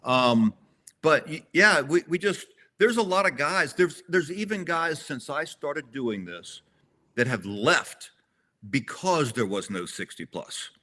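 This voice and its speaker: A man in his sixties with a bright, forceful voice talks through a casual interview. He rambles and restarts his sentences, correcting himself as he goes. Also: on the high side, lively intonation, slow. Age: 60s. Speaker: interview guest, competitor.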